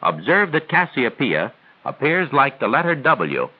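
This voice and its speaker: A man reads scripted 1940s training film narration in a measured, formal, instructional way. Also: mid-range voice, big pitch swings, husky. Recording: tinny band-limited 1940s film soundtrack.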